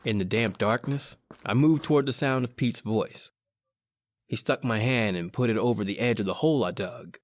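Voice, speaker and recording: A man's voice: mid-range voice, moderate pace, dry recording, lightly acted. A man playing a frightened American criminal, urgent and dramatic, in an old-time radio horror play. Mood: tense, haunted. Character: frightened male criminal narrating his doom.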